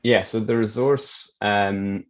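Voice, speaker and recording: A young man with a Scottish accent, explaining things in an interview in a measured, plain-spoken way. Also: fairly low pitch, steady tone, muffled recording. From north of Scotland. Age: young adult. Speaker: chemistry researcher being interviewed.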